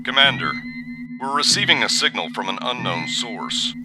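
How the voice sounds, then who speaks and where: An actor playing a dutiful, inquisitive bridge officer in a science fiction audio drama. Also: male voice, medium pitch, expressive, moderate pace, over music, close to an everyday voice. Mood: puzzled, reporting.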